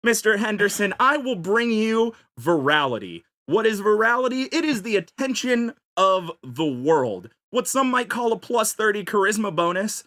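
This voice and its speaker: A podcast panelist giving an improvised comic pitch, playfully salesmanlike and fond of rhetorical questions. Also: very animated, normal speed, hardly any pauses, a bit bright.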